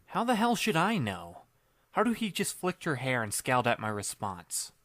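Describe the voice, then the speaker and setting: A man playing a sarcastic, weary teenage boy who narrates in a deadpan voice. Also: mid-range voice, normal speed, no echo. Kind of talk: first-person audiobook narration.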